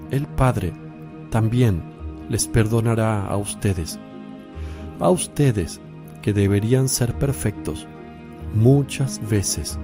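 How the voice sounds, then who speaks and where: An actor playing a calm, solemn male religious teacher who speaks in deliberate phrases, in a radio drama. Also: fairly low pitch. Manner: slow, deliberate, preaching phrasing.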